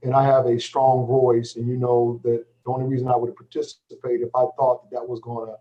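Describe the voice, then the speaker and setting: A man's voice: mid-range voice, flat delivery, a little fast, lo-fi sound. A man on an executive team speaking enthusiastically and emphatically at a public meeting.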